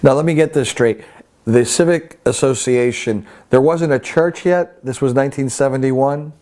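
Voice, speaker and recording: Male interview host, middle pitch, plain conversational prompting. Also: moderate pace, a little mellow.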